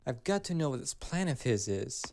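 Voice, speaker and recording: A man's voice: mid-range voice, big pitch swings, a little fast, gravelly, darkish tone. A man playing a plucky, determined young hedgehog hero in a game cutscene, speaking in a direct, challenging way. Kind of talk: fan game cutscene voice acting.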